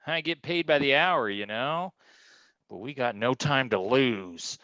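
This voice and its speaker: Man playing a blustering, animated hard-boiled private eye. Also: mid-range voice, unhurried, no room sound, highs cut off. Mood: exasperated bluster. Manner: slangy, theatrical tough-guy bluster. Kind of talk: staged reading of a noir detective comedy.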